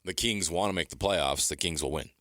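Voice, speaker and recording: A low-pitched man co-hosts casual sports talk. His voice has a forceful edge and sits dry on the microphone. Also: brisk, no room sound. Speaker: sports radio co-host.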